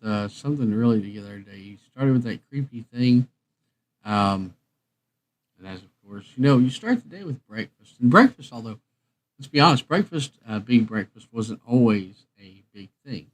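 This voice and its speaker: A man's voice: mid-range voice, a little mellow. A man hosting a music livestream, chatting casually in a rambling, meandering way.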